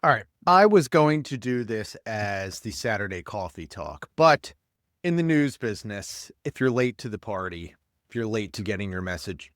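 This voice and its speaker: A man's voice: on the low side, no echo, clean studio sound. Congested, blunt man ranting. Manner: rambling, blunt, opinionated. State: congested, stuffed-up voice. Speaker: podcast host, paramedic. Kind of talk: casual solo commentary.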